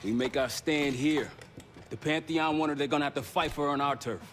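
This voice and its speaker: An actor playing a stern, steady intelligence operative and team leader in a cinematic video game. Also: male voice, a little high, brisk, a little hiss or hum, close to an everyday voice. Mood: commanding. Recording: loud music behind.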